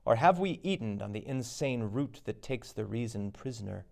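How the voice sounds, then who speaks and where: A man's voice: lowish voice, lively intonation, moderate pace, gravelly, darkish tone. A man giving a theatrical, declamatory dramatic reading of Shakespeare, taking every part himself. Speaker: reader of all parts.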